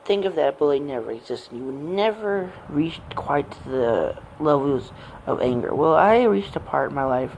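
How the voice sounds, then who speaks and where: A man in his late twenties rambling off the cuff in a personal gaming vlog, with halting, meandering and broken phrasing. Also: a little high, expressive, creaky, darkish tone, a little hiss or hum, rough recording. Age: late 20s. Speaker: amateur gaming vlogger. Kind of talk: rambling unscripted personal monologue.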